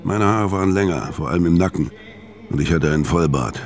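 A man's voice: deep voice, brisk. An actor playing a bitter, world-weary hitman who narrates and speaks tersely in a noir radio play. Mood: bitter, laconic. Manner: terse, deadpan. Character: hard-boiled middle-aged hitman, bitter, violent, world-weary loner.

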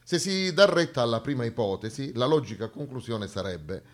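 A man's voice: medium pitch, big pitch swings, moderate pace. Ironic man delivering a stage monologue. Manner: ironic, colloquial, rhetorical questions. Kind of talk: satirical theatre monologue to an audience. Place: theatre stage.

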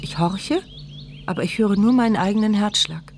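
A woman's voice: mid-range voice, normal speed, a little mellow, slight background noise, natural voice. An actress playing an anxious, wry paralysed woman who narrates her inner thoughts in a radio crime drama. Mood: frightened. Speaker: first-person narrator. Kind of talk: German radio crime drama, interior monologue.

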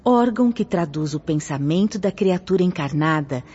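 An actress playing a gentle, solemn female spirit teacher in a radio drama, with a Brazilian accent and reverent, declamatory phrasing. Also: on the low side, animated, moderate pace, slightly rough. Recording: dull lo-fi audio under music.

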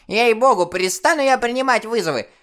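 A man's voice: extremely high pitch, brisk, fairly bright tone, voice pushed up. A man voicing a grumpy old female lift dispatcher who scolds through a crackly intercom speaker in an audiobook. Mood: cranky. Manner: scolding, cranky. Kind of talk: audiobook character voice.

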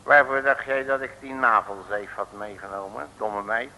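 An actor playing a brash, jovial detective in an old comic radio serial, cocky and folksy. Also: male voice, fairly low pitch, steady tone, moderate pace, bright, forceful tone, low-quality recording. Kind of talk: 1950s-60s comic radio play acting. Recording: old mid-century radio recording.

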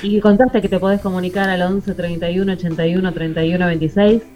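A woman's voice: fairly low pitch, fairly even intonation, quick pace, warm tone, faint music behind. A woman with a Buenos Aires accent co-hosting a radio show, presenting segments conversationally. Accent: Argentine, Buenos Aires. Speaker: radio co-host. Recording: dull lo-fi remote connection.

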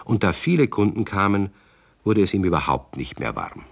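A man narrating a children's radio story calmly, speaking to young listeners. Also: low-pitched, normal speed, gravelly.

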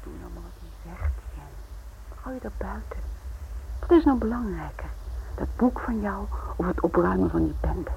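A woman's voice: lowish voice, lively intonation, normal speed, creaky. A woman acting in a radio drama as a practical wife with an insistent, coaxing, intimate manner. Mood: hushed, coaxing. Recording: dull old radio drama recording, noisy.

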